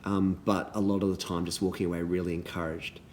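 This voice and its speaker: Man, deep voice, a bit hoarse; earnest, halting thank-yous full of ums. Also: little pitch movement, slight background noise. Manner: warm, earnest encouragement with many ums and restarts. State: slightly hoarse, losing his voice. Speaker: youth ministry leader. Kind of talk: recorded personal thank-you messages.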